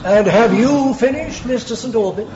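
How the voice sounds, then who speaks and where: An actor playing a stern, lofty Victorian judge with an English accent, formal and measured, in a British radio drama. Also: male voice, extremely high pitch, background noise. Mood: grave. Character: stern, lofty Victorian judge presiding over a murder trial. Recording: dull, muffled lo-fi drama recording.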